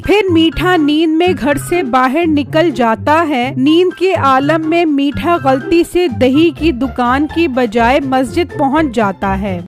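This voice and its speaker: A woman's voice: high-pitched, slow pace, few pauses. A woman narrating a scripted cartoon story, with music behind her.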